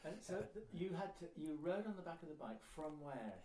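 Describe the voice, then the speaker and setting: A man's voice: a little high, sing-song, brisk, mellow. An Englishman conducting an oral history interview conversationally, prompting and echoing answers back.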